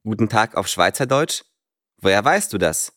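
A curious, questioning fellow with a lively, swinging intonation. His voice sounds close and dry. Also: lowish voice, normal speed, dry recording. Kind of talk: scripted dialogue.

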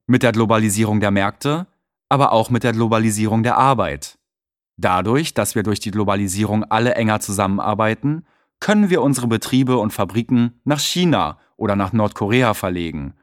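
A man reading short news texts and hosting a scripted radio call-in in a measured, clear way. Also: on the low side, no room sound, clean studio sound. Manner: measured, clear read-aloud delivery. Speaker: reader of exam listening texts.

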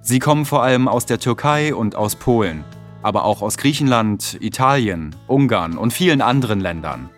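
A man reading short news texts and hosting a scripted radio call-in in a measured, clear way. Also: lowish voice, animated, faint music behind. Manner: measured, clear read-aloud delivery. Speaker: reader of exam listening texts.

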